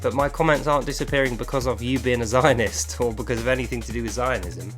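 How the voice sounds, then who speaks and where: A British man from England speaks in a medium-pitched voice as an online video creator. He is confrontational and insistent, pressing his points emphatically at a single listener. Faint music plays behind him. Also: fast, a bit bright. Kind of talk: direct-address monologue to camera or mic.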